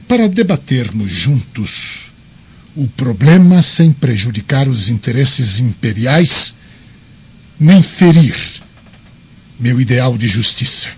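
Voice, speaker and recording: Solemn roman governor in a radio play, husky, swoops around a lot, muffled audio. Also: male voice, mid-range voice, very animated, takes it slowly, a little mellow, heavily acted. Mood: deliberating, authoritative. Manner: solemn, oratorical. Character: authoritative, deliberating Roman governor of Judea. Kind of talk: radio drama acting.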